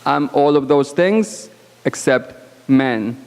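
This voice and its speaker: A woman born abroad speaks as a panelist at a conference, with a loud voice and a frank, assertive, deliberate delivery broken by pauses, into a microphone in a banquet hall. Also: very deep voice, lively intonation, smooth. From born outside Canada. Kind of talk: conference speech. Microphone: microphone at podium. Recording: long hall reverb.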